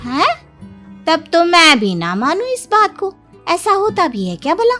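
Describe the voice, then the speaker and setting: A woman playing a skeptical, excitable, blunt village wife in a cartoon story. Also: very high-pitched, unhurried, soft background music, heavily acted. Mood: skeptical, curious.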